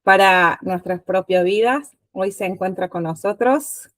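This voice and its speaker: A woman's voice: mid-range voice, unhurried, a bit bright, no room sound. A woman, hosting an interview podcast and asking her guest questions in an informal way. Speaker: podcast host.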